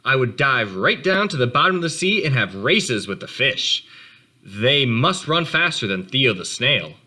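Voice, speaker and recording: A man voicing an excitable young boy turtle in a storybook, eager and dreamy, with words tumbling out. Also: medium pitch, a little slow, heavily acted. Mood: excited.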